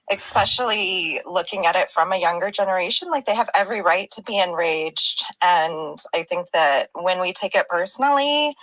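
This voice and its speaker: A woman co-hosting a live stream, gently moving the talk along and welcoming callers. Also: on the low side, rough recording.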